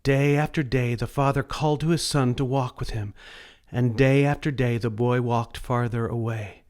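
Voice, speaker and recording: A man narrating a scripted children's story in a deliberate read-aloud manner. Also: medium pitch, fairly even intonation, a little mellow.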